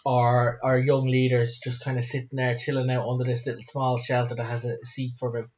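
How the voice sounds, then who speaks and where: An Irish man talks casually as a podcast co-host. His voice sits at an average pitch. The sound is a touch dull and lo-fi. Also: monotone, brisk, darkish tone. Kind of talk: casual chat.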